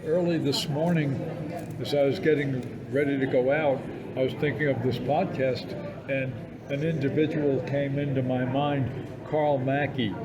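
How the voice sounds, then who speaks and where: An older man co-hosts a relaxed interview with a mid-pitched voice. His phrasing is hesitant and halting, and loud chatter of people fills the background. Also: poor audio. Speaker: podcast co-host. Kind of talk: casual conversational interview.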